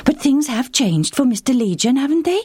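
A woman's voice: high-pitched, sing-song, moderate pace, a little mellow, husky, no room sound, pitched above the performer's own voice, full character voice. A woman voicing a polite, formal traveling man in a children's story. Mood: courteous. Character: courteous traveling man from afar.

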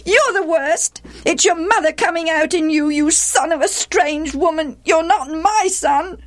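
Shrill, scolding, bitter stepmother. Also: lively intonation, a bit bright, exaggerated voice. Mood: furious scolding. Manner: scolding, wailing, drawn-out emphatic words.

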